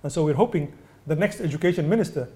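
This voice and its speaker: A man from Sudan, a UNICEF representative, answering press questions in a measured way with many hesitations. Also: on the high side, expressive, darkish tone, slight background noise. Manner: measured, many ums and uhs, restarts. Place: indoor venue.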